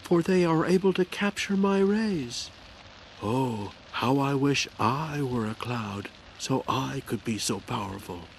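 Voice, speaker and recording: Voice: mid-range voice, expressive, slow, creaky, a little hiss or hum, rough recording. Narrator putting on a wishful, grandiose storybook lantern maker. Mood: yearning. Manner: exclamatory, theatrical wishing. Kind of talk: storybook character voice. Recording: music behind the voice.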